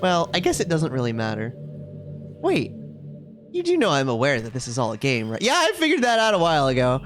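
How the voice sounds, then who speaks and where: Visual novel schoolgirl, read by a man: cutesy, confiding, clingy, very animated delivery over game music. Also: medium pitch, fairly quick. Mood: sweetly confiding. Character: sweet, possessive, self-aware video game schoolgirl. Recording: music playing behind the voice.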